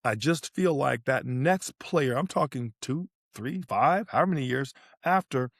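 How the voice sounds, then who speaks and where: Male voice, casual sports analysis, emphatic, repetitive phrasing, slightly low pitch, dry close recording. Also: expressive, measured pace, creaky, dry recording. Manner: emphatic, repeats phrases for effect. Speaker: podcast co-host analysing tennis. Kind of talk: casual sports talk, breaking down play.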